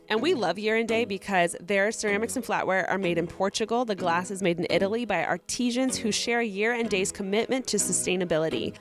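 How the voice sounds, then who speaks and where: Young woman, playful, laughs a lot, worn voice, podcast co-host. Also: medium pitch. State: voice worn from a festival. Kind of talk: casual chat and read ad.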